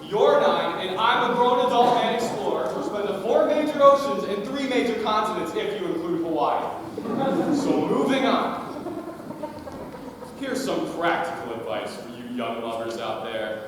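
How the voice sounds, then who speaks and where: A man's voice: high-pitched, very animated, moderate pace. Young man playing pompous explorer, echoing hall. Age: teenage or young adult. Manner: pompous, theatrical. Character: pompous grown male explorer dispensing advice. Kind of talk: high school stage comedy acting. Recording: very noisy echoey hall from far off.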